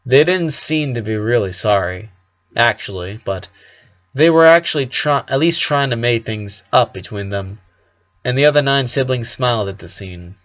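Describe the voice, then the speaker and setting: Mid-pitched man reading a story, slightly muffled. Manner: occasional stumbles over words while reading. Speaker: story narrator. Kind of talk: fan fiction read aloud.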